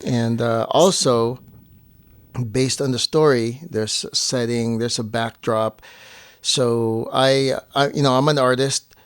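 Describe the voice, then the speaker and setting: Man, mid-range voice, casual explainer, halting delivery, very dry recording. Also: medium pitch, fairly even intonation, smooth, no room sound. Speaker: co-host and filmmaker.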